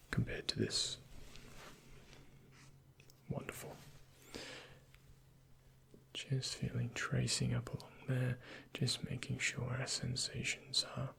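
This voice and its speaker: A man speaking softly and breathily in an ASMR roleplay as a doctor, giving gentle, courteous, unhurried instructions to a patient, close to the microphone. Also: mid-range voice. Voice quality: breathy, often near-whispered. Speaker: ASMR performer playing a doctor. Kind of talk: soft-spoken ASMR medical roleplay, addressing the listener as a patient.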